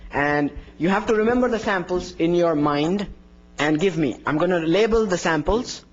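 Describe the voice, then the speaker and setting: A man with a notably high voice gives an instructional lesson on the call to prayer. He is earnest and encouraging, demonstrates sounds as he explains them, and the recording is dull and lo-fi. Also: moderate pace. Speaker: adhan teacher.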